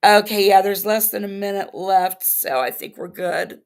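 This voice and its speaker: A woman giving sarcastic, blunt commentary over a livestream, hoarse as she loses her voice. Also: medium pitch, fairly even intonation, normal speed. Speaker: reaction commentator.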